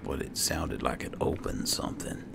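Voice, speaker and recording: A man muttering to himself as he gives casual commentary on a game he is playing. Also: normal speed. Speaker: gamer playing a roleplay series. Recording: clear game ambience noise behind voice.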